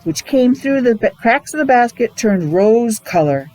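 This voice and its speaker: Animated high-voiced woman reading a storybook. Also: measured pace. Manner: expressive, explains and asks the listeners questions. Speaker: teacher reading a picture book to children. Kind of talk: animated read-aloud storytelling with asides to young children.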